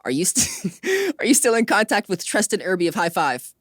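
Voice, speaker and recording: A woman's voice: medium pitch, huge pitch swings, normal speed, no echo, studio quality. A woman hosting an interview in a casual, informal way, often affirming with short repeated acknowledgements. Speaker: interview host.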